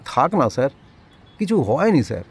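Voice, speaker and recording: A man's voice: medium pitch, very animated, normal speed, breathy edge, exaggerated voice. A man playing a nervous, fawning loan-recovery boss in an audio drama, often stammering and evasive.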